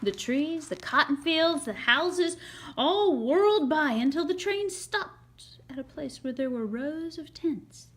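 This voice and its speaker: A woman storyteller with a high voice reads a children's picture book. Her delivery is highly animated and dramatic, rising and falling freely as she narrates. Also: slow. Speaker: read-aloud storyteller.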